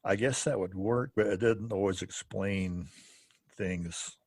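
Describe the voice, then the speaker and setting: A man co-hosting a podcast, talking through theology in a casual, halting way with many restarts. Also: fairly low pitch, vocal fry, darkish tone, slightly rough, no room sound, studio quality. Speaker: podcast co-host.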